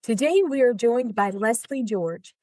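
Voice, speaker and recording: Woman podcast host, slightly high pitch, polished, formal, dry close recording. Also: animated, unhurried, warm tone, dry recording. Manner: polished, formal, reads introductions smoothly. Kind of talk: scripted guest introduction and interview questions.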